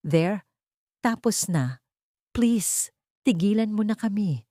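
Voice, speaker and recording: A woman's voice: medium pitch, expressive, takes it slowly, warm tone, no echo, pitched above the performer's own voice. Audio story role: a woman plays a cornered, pleading husband, remorseful and desperate, dry close sound. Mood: defeated. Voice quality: cracked, stammering voice.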